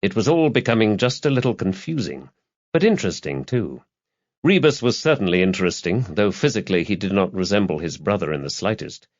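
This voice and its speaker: Low-voiced man narrating a crime novel. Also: expressive, a little fast, lo-fi sound. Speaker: audiobook narrator.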